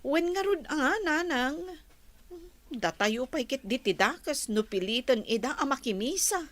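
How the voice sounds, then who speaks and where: Woman acting a troubled wife, high voice. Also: lively intonation, measured pace, a bit bright. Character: troubled, long-suffering wife of a drinking husband. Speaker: actor in a radio drama. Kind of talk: Ilocano comedy radio play acting.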